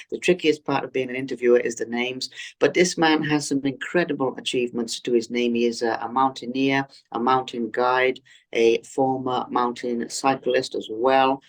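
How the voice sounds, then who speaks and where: Earnest male podcast host. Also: medium pitch. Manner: earnest and effusive, with frequent ums and restarts. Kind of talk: interview podcast hosting.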